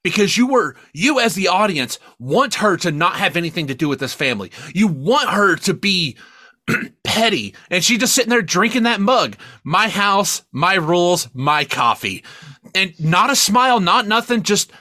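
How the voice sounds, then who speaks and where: A man's voice: high-pitched, sing-song, normal speed, pushed and bright, no room sound, studio quality. A man co-hosting a casual, opinionated film discussion, speaking emphatically and swearing freely. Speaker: podcast co-host reviewing films.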